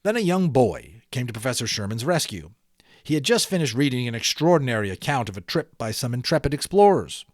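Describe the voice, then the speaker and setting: Animated man reading a storybook aloud. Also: mid-range voice, brisk, no echo, studio quality. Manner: animated, dramatic emphasis, chatty asides to listeners. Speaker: audiobook narrator. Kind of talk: expressive children's storybook reading with asides.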